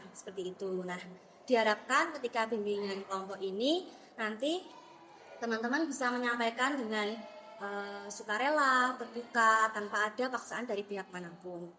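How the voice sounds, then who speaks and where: Young woman, counseling student, friendly and encouraging, higher voice, slight background noise. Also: pushed and bright, poor audio. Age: young adult. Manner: friendly and encouraging, many fillers. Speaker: counseling student leading a group session. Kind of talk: classroom group guidance. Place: classroom.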